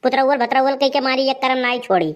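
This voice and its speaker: A woman's voice: sits high, fast, close to an everyday voice. A woman playing a heavyset, crafty village elder sister in a comedy cartoon, chatty and coaxing, with an Awadhi accent. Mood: eager.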